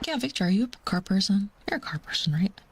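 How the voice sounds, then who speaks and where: A woman with a mid-pitched voice, talking like a livestreamer to her chat. She is chatty and informal, wandering from one remark to the next and sometimes softening almost to a whisper. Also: expressive, fast, a little hiss or hum. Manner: chatty, rambling, calls herself Mama. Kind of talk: casual livestream chat with viewers.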